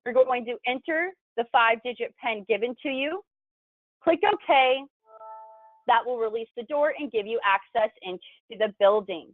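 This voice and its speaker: A woman with a slightly high voice presents a tutorial, walking through instructions one step at a time. The recording is dry and narrow, with a thin, telephone-like sound. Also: normal speed, dry recording, soft background music. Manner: clear step-by-step explaining. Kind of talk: instructional video narration. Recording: thin phone-like band-limited sound, dry.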